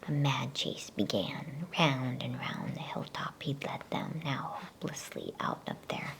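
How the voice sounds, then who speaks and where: Female ASMR storyteller: low, creaky whisper, soothing and hushed, close on the mic. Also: a little slow. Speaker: ASMR storyteller and channel host. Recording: faint background noise.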